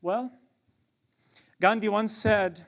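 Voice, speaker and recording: A young man delivers spoken word poetry with fierce conviction. His voice sits notably high for a man, and he builds each section toward a repeated rhetorical refrain. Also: fairly even intonation, slow pace, fairly bright tone. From Palestine, West Bank.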